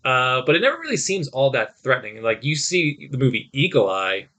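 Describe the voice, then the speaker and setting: A man's voice: medium pitch, very animated, brisk, pushed and bright. A man hosting a podcast, talking casually and conversationally as he gives his opinions. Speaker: podcast host. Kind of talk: casual film discussion.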